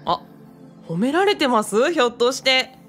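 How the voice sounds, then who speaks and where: Young female assistant for radio drama woman acting: courteous, modest, level-headed, with lively melodic delivery. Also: high-pitched, normal speed, faint music behind. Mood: friendly, animated. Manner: courteous, animated.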